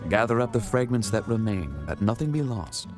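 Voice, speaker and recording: An actor playing a calm, authoritative male teacher in a dramatized scripture reading, speaking in a measured, solemn way. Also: on the low side, music in the background, close to an everyday voice.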